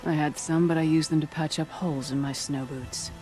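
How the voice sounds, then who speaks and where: A woman's voice: low voice, fairly even intonation, a little fast, mellow, close to an everyday voice. A woman plays an old huntress who keeps the trials in a video game. She talks in a wry, blunt, instructive way, with faint music underneath. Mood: wry, proud. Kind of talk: video game dialogue acting.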